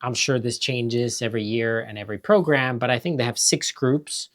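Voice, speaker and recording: A man from Finland in his thirties, hosting a family vlog in relaxed, casual chat with fillers. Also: mid-range voice, normal speed. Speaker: vlog host.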